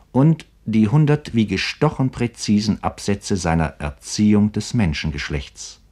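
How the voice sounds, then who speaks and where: A man's voice: low voice, a little mellow. A man reading scripted narration for a literary radio feature in a formal, articulate manner.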